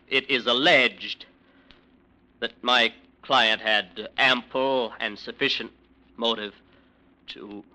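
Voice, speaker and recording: Casting: a nervous, earnest defense lawyer with a bright voice, old radio style. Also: male voice, mid-range voice, low-quality recording. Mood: pleading. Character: male defense lawyer, nervous, under pressure. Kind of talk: 1940s radio acting.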